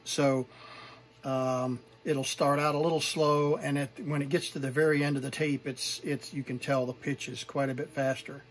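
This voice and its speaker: A middle-aged man presenting from home, showing vintage gear in an unscripted, halting, informal and appreciative way. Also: mid-range voice. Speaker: vintage tape recorder collector and presenter. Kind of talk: unscripted hands-on demonstration.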